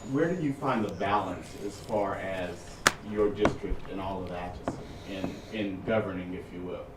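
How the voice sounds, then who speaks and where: A man's voice: deep voice, normal speed, far from the mic. A man who directs a youth club asks a question at a county meeting, hesitant with many fillers. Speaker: boys and girls club director. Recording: echoey room from afar, loud noise.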